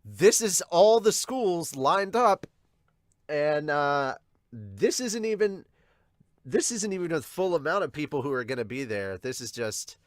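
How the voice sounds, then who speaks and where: A man's voice: on the high side, big pitch swings, a little slow, dry recording, studio quality. A man giving casual, enthusiastic reaction commentary as a show host, emphatic and given to swearing. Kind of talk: casual reaction commentary with dramatic read-alouds.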